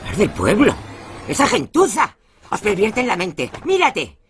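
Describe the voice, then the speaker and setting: A woman's voice: lowish voice, sing-song, normal speed, a bit bright, soft background music. An actress with a Castilian Spanish accent playing a domineering, fanatically religious farm mother in an audio drama, stern and accusing. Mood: furious, accusing.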